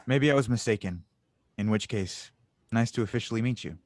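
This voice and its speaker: A man acts out an older male inventor with a low voice and a close, dry sound. He is genial and a little wistful, talking in an easy, unhurried conversational way, as in an audio drama. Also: no echo, lightly acted. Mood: curious. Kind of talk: audio drama table read.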